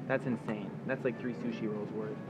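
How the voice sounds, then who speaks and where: A man's voice: fairly low pitch, steady tone, moderate pace. A young man giving a chatty, joking walking tour of a supermarket fish aisle, filmed on a handheld camera. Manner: chatty, offhand, jokey. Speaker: vlogger. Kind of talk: casual walking tour commentary. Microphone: handheld camera held close. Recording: dull lo-fi camera audio with loud store noise. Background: loud supermarket hum and bustle.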